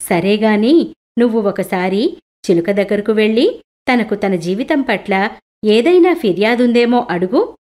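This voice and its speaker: Creator god, animated moral tale: female performer as benevolent male deity, measured and reassuring. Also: mid-range voice, slight background noise, close to an everyday voice. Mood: instructing.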